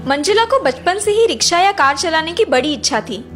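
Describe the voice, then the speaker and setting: A woman narrates a children's cartoon story. Her voice is high and very lively in its rise and fall. Music plays behind her. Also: normal speed.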